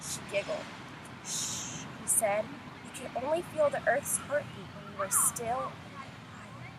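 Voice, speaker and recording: Clear outdoor noise surrounds a woman with a fairly high voice. She is a teacher reading a storybook aloud for children. She addresses her young listeners directly as she goes. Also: expressive, unhurried. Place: outdoors in the open. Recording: noisy windy outdoor recording with traffic.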